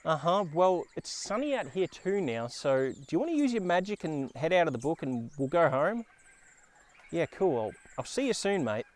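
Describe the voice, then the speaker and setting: An Australian man hosts a children's read-aloud. His voice sits a little high and swings widely in pitch. He comes across as playful and expressive, talking as if to young listeners. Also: moderate pace, a little hiss or hum. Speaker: children's storyteller and show host. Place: outdoors in a forest.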